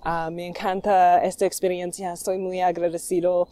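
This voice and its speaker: Woman, low mellow halting vlogger, dry. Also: level tone, no echo. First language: English. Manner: halting, many fillers, warm well-wishing. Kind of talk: casual vlog talk.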